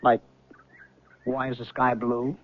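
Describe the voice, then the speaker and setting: An actor playing an earnest, disillusioned, restless man in 1970s radio drama, speaking theatrically and emphatically with halting pauses. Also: medium pitch, monotone. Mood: restless, weary. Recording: thin phone-like old radio recording, noisy.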